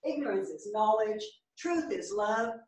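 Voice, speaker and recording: A woman's voice: mid-range voice, animated, measured pace, a little mellow, natural voice. A woman playing an opinionated, wry grumbler who makes declarations in a staged play reading in a theater. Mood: declaiming. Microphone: far camera. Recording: echoey theater, distant camera microphone.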